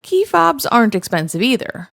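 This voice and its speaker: A woman's voice: fairly high pitch, sing-song, normal speed, vocal fry, fairly bright tone, dry recording. Wry woman narrator, dry close sound. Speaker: narrator reading online stories. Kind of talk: scripted first-person story narration.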